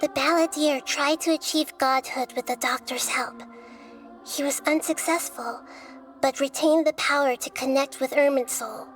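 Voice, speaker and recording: A high, girlish voice for a wise young sage in a video game. She speaks gently and with composure, in measured, articulate, scholarly phrases, over soft background music. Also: fairly even intonation. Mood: gently pondering. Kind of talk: scripted video game dialogue.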